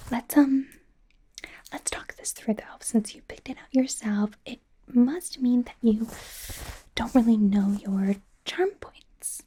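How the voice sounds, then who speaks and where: A woman playing a bubbly young female pop idol acting as a personal stylist in a softly whispered, informal, rambling ASMR roleplay. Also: fairly high pitch, slow pace. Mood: earnest, protective. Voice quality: soft, breathy whisper. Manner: hesitant, rambling, informal, earnest.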